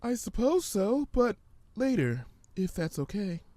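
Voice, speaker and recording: A man voicing a timid, gentle young female pony in a fan fiction reading, polite, hesitant and soft-spoken. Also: high voice, big pitch swings, a little slow, no room sound, pitched above the performer's own voice. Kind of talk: fan fiction audiobook character voice.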